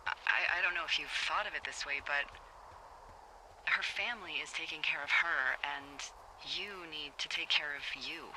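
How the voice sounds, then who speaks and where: A woman playing a wry, flirty, warm-hearted veteran fire lookout in a video game, talking casually and teasingly over a walkie-talkie. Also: low-pitched, moderate pace. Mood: shocked, sympathetic. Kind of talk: naturalistic game dialogue. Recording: clearly noisy game ambience behind.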